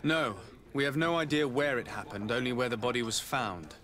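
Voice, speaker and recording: A man playing a composed, courteous noble diplomat in a video game, speaking in a measured, formal way. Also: medium pitch, expressive, fairly bright tone, lightly acted. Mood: grave. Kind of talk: video game cutscene acting.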